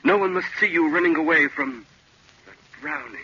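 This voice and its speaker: A man's voice: on the high side, normal speed, bright, forceful tone, slightly rough. A man acting a sinister, urgent inner voice that commands and insinuates, in an old-time radio drama. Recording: dull old radio recording.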